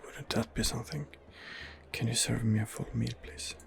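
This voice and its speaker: A man giving hushed ASMR game commentary in a breathy whisper, musing hesitantly to himself as he plays. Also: medium pitch, fairly even intonation, moderate pace, background noise. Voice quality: breathy whisper with gravelly creak. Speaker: gameplay host.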